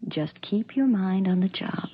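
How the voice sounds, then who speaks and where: A woman's voice: medium pitch, expressive, a little slow, close to an everyday voice. An actress playing a spoiled, scheming young rich woman, cool and poised, in a 1970s radio drama. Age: young adult. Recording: muffled, hissy old radio broadcast.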